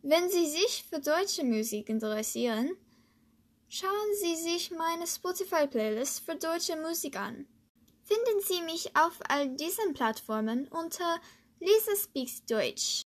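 A woman from the United States, a native English speaker, hosting a podcast and slowly reading a lesson in German with hesitant fillers. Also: very high voice, sing-song. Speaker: podcast host, German learner. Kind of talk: scripted language lesson podcast.

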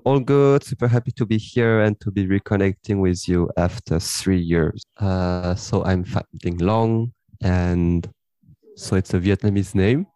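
Laid-back deep-voiced man, French-raised. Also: a little slow, no room sound. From France. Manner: relaxed, rambling, with frequent fillers and light laughs. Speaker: podcast guest. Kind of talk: casual interview chat.

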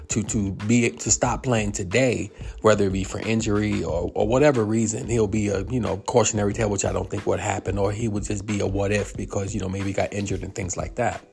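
Gravelly-voiced man in his fifties talking sports. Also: on the low side, a little mellow. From New York. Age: 50s. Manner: rambling, many filler words and restarts. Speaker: podcast host. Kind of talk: solo sports commentary monologue.

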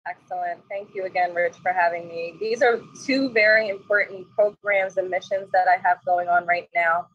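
A woman's voice: lowish voice, fairly even intonation, moderate pace, highs cut off, a little hiss or hum. A woman in her thirties from Egypt, speaking politely as a guest on a radio interview. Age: 30s. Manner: polite and gracious. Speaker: guest, nonprofit president and CEO.

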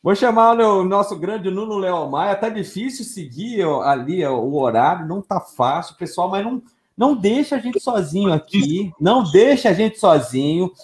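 A man from Brazil hosting a live stream in an upbeat, lively way, running a prize draw. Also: high-pitched, moderate pace, hardly any pauses. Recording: echoey room.

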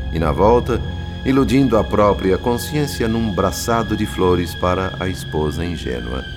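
A man's voice: on the low side, lightly acted. A man playing an earnest narrator in a radio drama, telling the story in formal, literary, dramatic phrasing.

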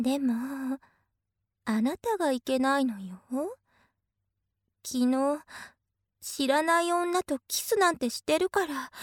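High-voiced, clingy yandere big sister. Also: female voice, dry recording, studio quality. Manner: clingy, coaxing, slipping into intense whispers. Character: obsessive, possessive yandere big sister, sweet but unhinged. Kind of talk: Japanese situation voice drama acting.

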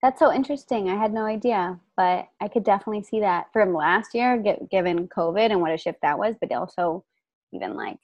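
A woman co-hosting a podcast over a video call, bubbly and quick to laugh, answering with short affirmations, and sounding tired tonight. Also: medium pitch, animated, a little mellow, lo-fi sound. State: tired, voice lower than usual. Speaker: podcast co-host. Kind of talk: casual chat.

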